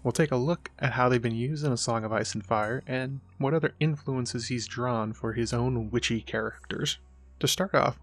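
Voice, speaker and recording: A man hosting a literary video essay, reading scripted explanatory narration. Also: mid-range voice.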